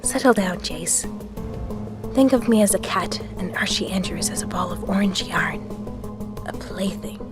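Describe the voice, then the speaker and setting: A woman playing a haughty, scheming rich teenage girl in a fan audio drama, speaking in a breathy, arch near-whisper. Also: mid-range voice, a little slow, natural voice. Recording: music bed behind voice.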